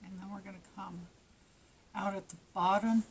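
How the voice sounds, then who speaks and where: Woman, stuffy nose, losing her voice, crochet tutorial, mid pitch, faint background noise. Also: steady tone, normal speed, creaky. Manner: plain, practical instructions with small restarts. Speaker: crochet teacher. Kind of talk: step-by-step craft tutorial.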